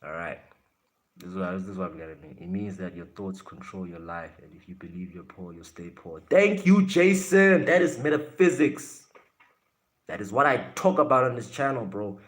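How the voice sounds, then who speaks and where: A fairly low-pitched man, chatting loosely to his livestream audience. He is blunt and brash, swearing and throwing jabs at commenters. The talk is relaxed and casual, aimed at the chat. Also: moderate pace. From South Africa.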